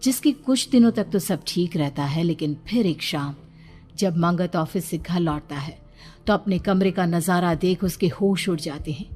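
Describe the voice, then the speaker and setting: Lowish-voiced woman narrating a horror story. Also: moderate pace, a little mellow, faint music behind.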